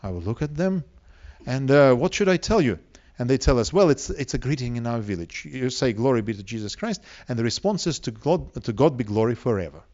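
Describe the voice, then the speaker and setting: A man from Siberia with a Russian accent preaches to an audience, speaking deliberately with rhetorical questions and emphatic pauses. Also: medium pitch, lively intonation, a little mellow, muffled recording. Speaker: preacher, evangelistic seminar speaker. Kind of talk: sermon to a live audience.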